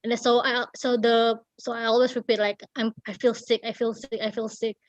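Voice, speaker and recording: An Indonesian woman tells a story in a casual, halting way, searching for her words. Her voice sits a little high. The sound is dull and lo-fi, with very little room around it. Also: flat delivery, no echo. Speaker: writer and guest storyteller. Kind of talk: casual storytelling in an online talk.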